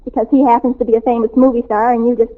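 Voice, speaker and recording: A woman's voice: fairly high pitch, level tone, rapid-fire, natural voice. A woman playing a gushing, dreamy, star-struck teenage girl in 1940s radio comedy style, flustered and melodramatic. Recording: old narrowband radio recording.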